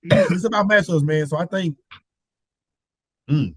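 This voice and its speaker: A man with a slightly hoarse voice from a cold, talking basketball as a podcast guest in animated casual chat, repeating his points. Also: a little high, unhurried. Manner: animated, repeats phrases, slangy. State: hoarse, getting over a cold.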